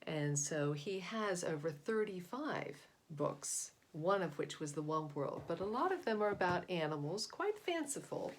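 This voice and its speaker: A middle-aged woman presenting a read-aloud, talking informally and explaining things to young listeners. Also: fairly low pitch. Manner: explanatory, asks listeners questions. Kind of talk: informal children's book talk to young listeners.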